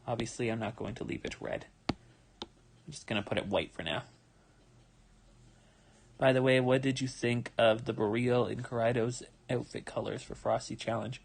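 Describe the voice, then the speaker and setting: A tired young man with a relaxed, rambling manner, talking casually with many fillers as he draws live and explains his steps. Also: medium pitch, normal speed, vocal fry, pauses often, slight background noise. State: tired, yawning. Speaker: manga artist streaming his drawing. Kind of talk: casual livestream commentary while drawing.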